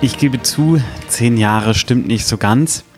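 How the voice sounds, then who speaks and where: A man's voice: medium pitch, slow pace, over music. A man in his thirties hosting his own podcast, talking directly to the listener in a reflective, advisory way. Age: 30s. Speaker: podcast host, strategy consultant. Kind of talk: solo podcast monologue.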